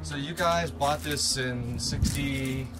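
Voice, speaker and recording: A man's voice: mid-range voice, slow, over loud music. A man with an American accent chatting casually outdoors as an interviewer with a curious manner. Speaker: interviewer filming a car visit. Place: outdoors in a yard beside parked vehicles.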